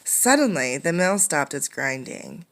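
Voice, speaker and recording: A woman's voice: low-pitched, sing-song, measured pace, fairly bright tone, slight background noise. A woman teacher reading a picture book aloud to children, instructing and posing questions as she goes. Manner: instructive, poses questions to young listeners. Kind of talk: interactive storybook read-aloud for children.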